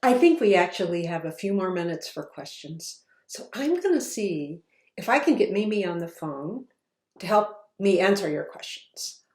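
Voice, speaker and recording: A woman author reading her children's book aloud in slow, expressive storytelling. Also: lowish voice. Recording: roomy echo.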